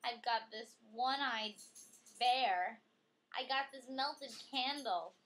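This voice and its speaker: A woman reading a picture book aloud to a child in an expressive way, with chatty, coaxing questions for the young listener. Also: a little high, takes it slowly, low-quality recording.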